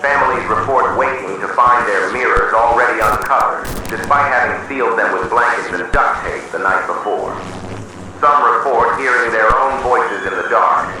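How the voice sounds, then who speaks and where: A man reading a scripted horror emergency announcement in a solemn, measured, official manner. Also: mid-range voice. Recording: distant, heavily echoing, noisy emergency broadcast.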